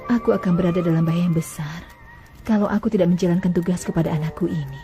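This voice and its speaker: A woman voicing a gentle, devoted mother she-camel who nurses her calf, speaking calmly in a dubbed cartoon. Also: on the low side, quick pace, over music, close to an everyday voice. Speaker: voice actor.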